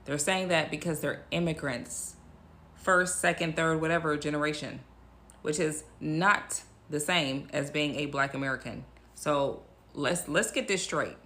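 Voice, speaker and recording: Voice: medium pitch, unhurried, bright, forceful tone. A speaker giving emphatic, incredulous explanations in a casual commentary.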